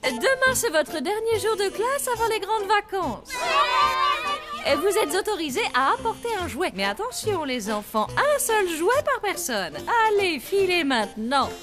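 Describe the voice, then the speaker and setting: An actress playing a brisk schoolteacher addressing children in a French cartoon dub. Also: female voice, extremely high pitch, very animated, loud music behind the voice, over a noisy crowd, exaggerated voice. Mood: instructing. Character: brisk, instructing female schoolteacher.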